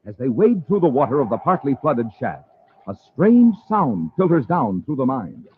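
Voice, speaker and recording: A man's voice: medium pitch, big pitch swings, moderate pace, husky. A man with an American accent announcing and narrating in an emphatic, showy 1950s radio style. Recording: muffled, faintly hissy old radio.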